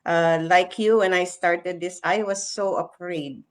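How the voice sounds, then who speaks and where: A middle-aged woman with a Filipino accent speaks at a markedly slow pace in a slightly low voice. She is an interviewer sharing casual personal anecdotes, halting often as she searches for words in her second language. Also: fairly even intonation. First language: Filipino (Tagalog).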